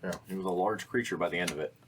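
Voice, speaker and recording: A man's voice: low-pitched, very fast, a crowd in the background. A man chatting casually as a player in a tabletop roleplaying game, blunt and argumentative. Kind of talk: casual chat, in-game banter.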